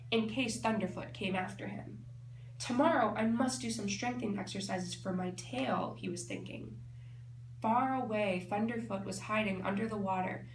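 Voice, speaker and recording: A woman teacher reading a storybook aloud expressively, explaining and thinking aloud for children. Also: on the high side. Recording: echoey room, faint background noise.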